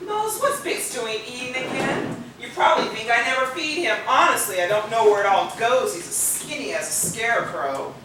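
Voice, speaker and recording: An actress playing a sassy, affectionate young wife and artist in a stage play, with teasing phrasing. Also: female voice, fairly high pitch, expressive, moderate pace, few pauses, fairly bright tone, slight background noise. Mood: playful, sassy. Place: theatre stage, videotaped live from a distance. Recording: echoey theatre hall, distant camera mic.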